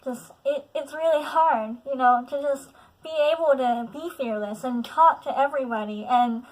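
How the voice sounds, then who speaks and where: Young woman vlogger, late teens, nasal with a lisp, slightly high voice, halting and candid with lots of fillers. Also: poor audio. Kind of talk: personal confessional vlog.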